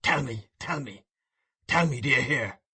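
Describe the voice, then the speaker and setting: A man's voice: medium pitch, expressive, unhurried, bright, forceful tone, slightly rough, exaggerated voice. A man reading an audiobook voices an enraged, grief-stricken husband in a hard, accusing tone. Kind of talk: audiobook reading of a classic novel.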